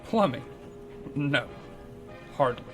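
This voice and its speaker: An actor playing a villainous, enigmatic occult researcher in a radio play, polite, haughty and probing. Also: male voice, mid-range voice, expressive, takes it slowly. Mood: suspicious, polite. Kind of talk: radio drama acting. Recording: prominent music under the voice.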